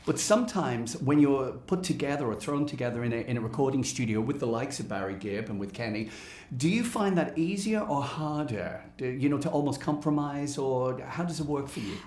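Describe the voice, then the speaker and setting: A man's voice: medium pitch, animated, a little fast, a little mellow. A British man hosting a conversational radio interview, effusive and rambling in his questions. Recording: roomy, echoing interview space.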